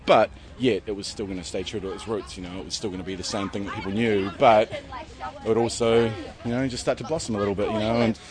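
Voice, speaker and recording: Deep voiced kiwi guy rattling on fast about his festival, lots of you knows. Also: steady tone. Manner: rambling, filler-heavy, enthusiastic. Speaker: festival organiser. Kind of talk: casual interview.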